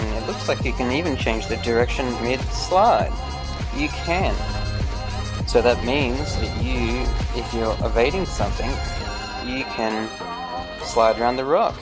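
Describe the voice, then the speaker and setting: A man hosting a gaming video, giving gameplay commentary in careful, formal phrasing with few contractions. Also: medium pitch.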